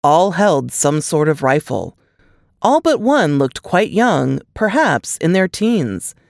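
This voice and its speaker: A woman narrates scripted fiction like an audiobook. Her intonation moves widely and keeps the reading animated. The sound is very dry, without room echo. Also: deep voice, slow, gravelly.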